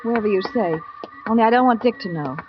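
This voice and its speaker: A woman's voice: on the high side, expressive, fast, noisy background, natural voice. Anxious wife, dull vintage sound woman acting. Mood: anxious, confiding. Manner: hesitant, earnest, confiding. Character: anxious, pleading detective's wife, former actress. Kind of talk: old-time radio drama acting. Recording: dull vintage radio recording.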